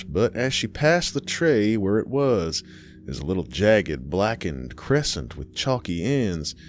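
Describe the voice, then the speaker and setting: A man reading a scripted horror story in a dramatic, measured way, pausing between phrases. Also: deep voice, slight background noise.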